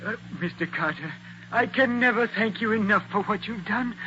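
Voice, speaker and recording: A man's voice: high-pitched, normal speed, pushed and bright. An actor playing an anxious, grateful older father in a 1940s radio drama, fluttery and effusive. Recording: muffled, hissy old radio recording.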